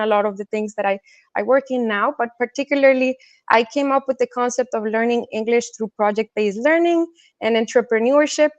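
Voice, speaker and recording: A woman's voice: on the high side, no room sound, muffled recording. Woman, dry close sound, interview guest. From Colombia, also raised in the States and China. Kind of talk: casual conversational interview answers.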